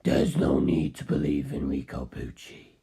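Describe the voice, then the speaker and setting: A narrator voicing an aloof, knowing superhuman man with a heavy voice and a slow, dismissive manner. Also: fairly even intonation, gravelly, dark tone, husky, exaggerated voice. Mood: flat contradiction. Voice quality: very deep, heavy voice.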